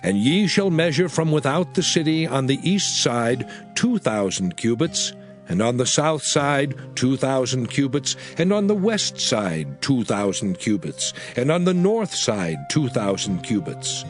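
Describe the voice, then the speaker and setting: A man plays a solemn leader of ancient times proclaiming law in a dramatized scripture reading. His mid-pitched voice rises and falls widely in declamatory phrases, over clearly heard music. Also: sing-song, a little slow.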